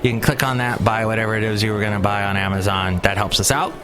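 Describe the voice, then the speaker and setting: A man's voice: on the low side, steady tone, fast talker, background noise. A man hosting his own podcast in casual solo talk, rambling and chatty with lots of fillers and restarts. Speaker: podcast host.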